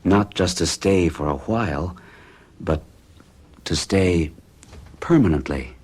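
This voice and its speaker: A troubled young man telling his story in a lowish, earnest voice. He hesitates as he recounts his growing unease. The delivery is classic radio drama. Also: slow, darkish tone, breathy edge, full character voice. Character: troubled young American man, hero in love and afraid. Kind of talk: 1970s radio drama acting.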